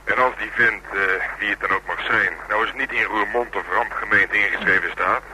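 A man acts as a laid-back, boastful investigator with a fairly deep voice. He banters with dry humour in radio drama style. The recording has clear noise, like a phone call. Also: steady tone, a little fast, close to an everyday voice. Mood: businesslike. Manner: bantering, dryly humorous. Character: easygoing male investigator, boastful, flirtatious and dryly joking. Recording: noisy line, like a telephone call.

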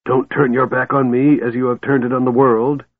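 A man voicing a dying young male mage in an audiobook, bitter and desperate, with a strained, gasping voice and halting, snarling delivery. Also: on the low side, close to an everyday voice. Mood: feverish, demanding. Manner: halting fragments, snarling demands. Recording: muffled phone-like audio with room echo.

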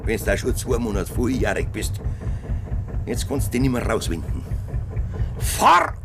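A man's voice: wildly swooping pitch, unhurried, gravelly, noisy background, exaggerated voice. An actor playing a domineering older Bavarian farmer who bullies and threatens his son, in a 1970s radio play with a Bavarian accent. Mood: menacing. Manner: bullying, stubborn, turns to low threats. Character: domineering older male Bavarian farmer, a greedy tyrant father. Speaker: farmer father.

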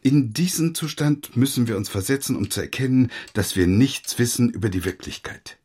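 An actor playing a pompous, frail retired army officer, an older man with a husky, lecturing way of talking in a radio drama. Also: medium pitch, normal speed, a little mellow, natural voice. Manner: lecturing, philosophizing.

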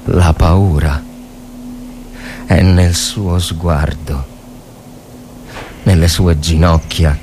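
A man giving a dramatic, grave and measured narration of a war story as a literary reader. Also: deep voice, a little mellow, a little hiss or hum.

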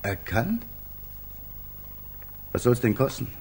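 An actor playing an eccentric, playful painter in a 1950s German radio comedy, coaxing and amused, often repeating himself. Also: male voice, medium pitch, sing-song, slow pace, a little mellow. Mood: businesslike, curious. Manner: coaxing, stammering repetitions, amused. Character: eccentric male painter, playfully smitten with a monkey. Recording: noisy old 1950s radio recording.

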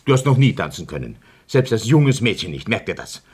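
A middle-aged small-town party boss in a radio play. He has a husky voice that swoops widely in pitch. His speech is pompous and patronizing, that of someone used to bossing others. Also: very animated, a little fast, darkish tone. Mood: patronizing, bullying. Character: blustering, domineering middle-aged male town councillor and party functionary.

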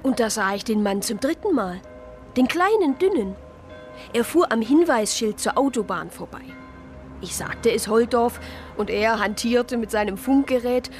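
A woman's voice: fairly high pitch, animated, unhurried, soft background music. An actress playing an earnest, caring church sister with a Swabian accent, telling her story plainly and reflectively in a radio drama. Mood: reflective, wistful. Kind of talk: radio drama first-person narration and dialogue.

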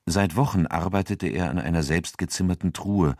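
A man narrating a scripted literary crime story in a measured, sober manner. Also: deep voice, a little mellow, dry recording, clean studio sound.

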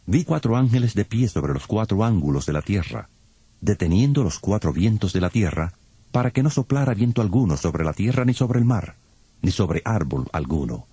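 Low-voiced, expressive man narrating scripture. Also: normal speed, breathy edge, dry recording. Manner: solemn, dramatic. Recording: loud music behind.

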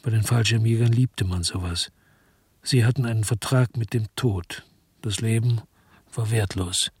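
An actor playing a bitter, brooding investigator who narrates a radio crime drama in a grim, terse, reflective way. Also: male voice, fairly low pitch, a little mellow, husky, no echo. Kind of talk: scripted first-person radio drama narration.

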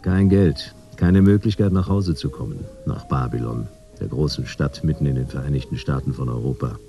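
An actor playing a weary, sardonic private detective who narrates and talks in laconic, dry lines in a radio drama. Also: male voice, extremely low pitch, moderate pace, gravelly, dark tone, faint music behind. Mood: sardonic narration. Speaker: narrator and lead character.

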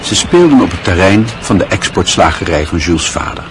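A man narrating a radio play in a measured, even storytelling manner. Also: low voice, noisy background.

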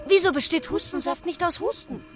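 Naive girl ai, high voice, precise n literal, radio play, loud music under it. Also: a little fast. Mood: curious. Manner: precise, stuttering on words while describing herself in third person. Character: Julia, naive young female artificial intelligence, curious and literal. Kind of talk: radio drama acting.

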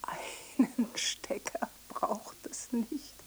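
An actress playing a frail, failing figure in a radio play, stammering out last words in a faltering whisper. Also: female voice, very slow, a little mellow. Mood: faltering, weak. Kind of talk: 1990s German radio play acting.

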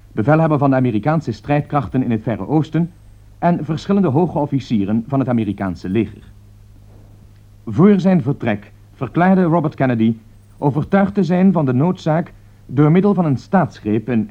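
A man playing a serious radio newsreader in a radio drama, delivering urgent bulletins in a formal, measured manner. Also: fairly high pitch, natural voice. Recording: dull lo-fi old radio recording.

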